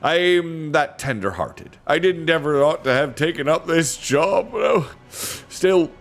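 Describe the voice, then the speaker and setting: A man's voice: on the high side, expressive, slow, pitched above the performer's own voice. A man voicing a greedy, wheedling male slave-dealer pirate with a coarse, folksy way of talking.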